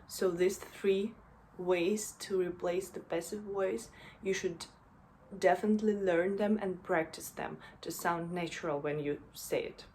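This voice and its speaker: A young woman walks through grammar points in a relaxed, slow manner, often pausing on fillers and checking in with "right?". Her voice sits a little low, and faint background noise is heard under it. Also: low-quality recording. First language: Russian. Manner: unhurried, with fillers and frequent "right?". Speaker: German language teacher. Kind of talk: casual explainer lesson.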